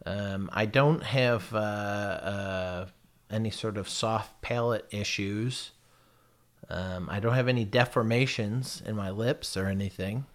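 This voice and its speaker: A man's voice: fairly low pitch, takes it slowly. An American man with a noticeable lisp, talking casually to his viewers in a rambling, profane, self-mocking way. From United States. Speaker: vlogger. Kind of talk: casual chat.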